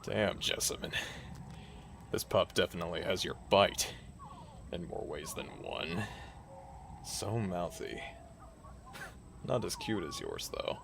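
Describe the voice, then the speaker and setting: An actor voices a cocky, rugged werewolf man for an audio drama. The voice is low and creaky, with a slow theatrical drawl that swings widely in pitch. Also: very animated, noisy background, heavily acted. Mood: cocky, teasing. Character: cocky, teasing male werewolf, loyal and rugged.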